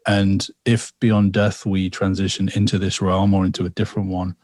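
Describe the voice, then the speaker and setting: A man's voice: sits low, level tone, moderate pace, a little mellow, no echo, clean studio sound. A man from England, a guest storyteller talking casually on a podcast, rambling and reflective with many repetitions and fillers. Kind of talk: casual podcast conversation, telling a personal story.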